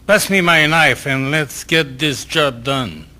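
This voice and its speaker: An actor playing a blunt, grimly amused 19th-century surgeon in a radio drama, with a gruff, wry manner. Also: male voice, fairly high pitch, slow pace, bright, forceful tone, heavily acted. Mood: brisk, disgusted.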